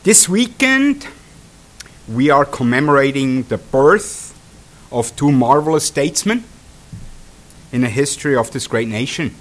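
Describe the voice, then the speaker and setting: Swiss-accented man preaching with halting fillers. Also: medium pitch, sing-song. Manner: hesitant, many fillers and restarts. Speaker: traveling pastor. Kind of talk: sermon. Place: congregation hall.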